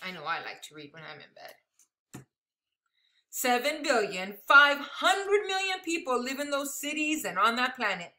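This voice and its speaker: A woman reads a children's book aloud in a high, very lively voice. Her delivery is expressive and bright, and she breaks off for chatty asides to her young listeners. The sound has the echo of a room at home. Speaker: elementary school teacher reading a picture book aloud. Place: living room at home, indoors.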